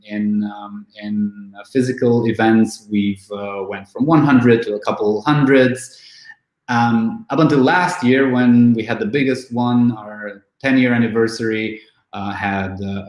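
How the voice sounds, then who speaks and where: Man in his thirties, event organizer, halting speech full of ums, reverberant room sound. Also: fairly low pitch, darkish tone. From Romania. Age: 30s. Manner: halting, many fillers and restarts. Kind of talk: casual online interview. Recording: echoey room.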